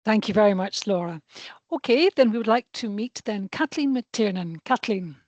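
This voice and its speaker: A woman's voice: medium pitch, animated, normal speed, a little mellow, no room sound. A woman hosting an online launch, introducing each speaker with brief, courteous thanks.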